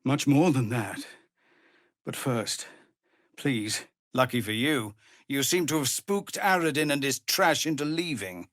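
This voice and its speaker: Weary honourable tiefling commander, husky voice, slow formal speech, wide expressive swings, fantasy game. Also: male voice, mid-range voice, no room sound, studio quality. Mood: measured, earnest. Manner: grave, measured, formal. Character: weary, honourable male tiefling ex-paladin leader of refugees. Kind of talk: dramatic fantasy game voice acting.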